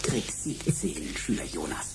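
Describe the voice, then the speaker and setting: A man's voice: lowish voice, big pitch swings, unhurried, creaky, over loud music, exaggerated voice. A man playing a mocking computer sidekick in a radio play, with pompous, ornate, old-fashioned diction.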